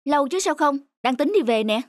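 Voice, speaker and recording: A woman voicing a sensitive, expressive young woman, an emotional girlfriend in a cartoon. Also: sits high, brisk, no room sound, clean studio sound. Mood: annoyed. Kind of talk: cartoon dubbing.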